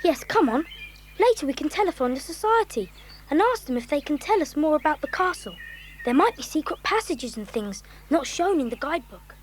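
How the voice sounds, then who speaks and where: A woman's voice: sits high, sing-song, a little fast. An actress playing a sensible, polite, well-spoken boy who leads young adventurers in a children's audio drama. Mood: polite, curious. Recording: clear background noise with birdsong.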